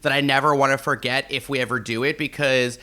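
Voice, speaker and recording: An American man co-hosting a podcast in casual banter, chatty and halting, often repeating words as he searches for the next one. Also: mid-range voice, steady tone, pushed and bright. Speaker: podcast co-host.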